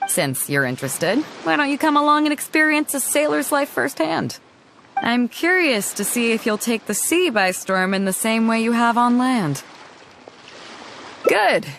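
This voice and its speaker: A woman's voice: fairly high pitch, expressive, measured pace, gravelly, fairly bright tone, a little hiss or hum, heavily acted. An actress voicing a bold, confident female pirate captain in a game cutscene, hearty and commanding with a teasing edge. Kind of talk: video game cutscene dialogue.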